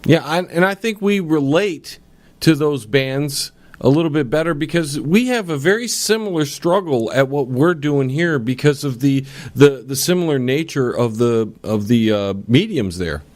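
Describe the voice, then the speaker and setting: A man's voice: a little high, animated, moderate pace, darkish tone, no room sound. Joking male co-host, dry close sound. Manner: joking, stumbles over words. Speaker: podcast co-host. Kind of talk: casual podcast banter.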